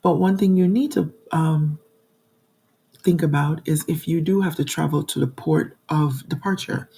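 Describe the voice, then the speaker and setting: A woman in her forties, hoarse from a cold, chatting to viewers about travel costs in a casual vlog with frequent ums. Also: deep voice, normal speed, warm tone, rough recording. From New York. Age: 40s. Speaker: budgeting channel host. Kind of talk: casual talking-to-camera vlog.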